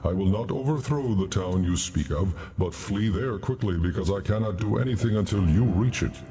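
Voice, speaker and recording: An actor playing a solemn, stern angel of God in a dramatized scripture drama, speaking with grave, measured authority. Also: male voice, on the low side, dark tone, natural voice. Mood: stern, granting. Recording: music behind the voice. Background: clear background music.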